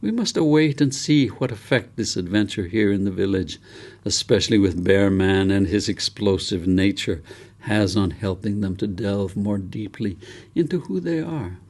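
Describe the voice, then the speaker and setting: A man voicing the most ancient elder of a village council, solemn, hopeful and deliberate in his oratory. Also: fairly low pitch, expressive, a little mellow.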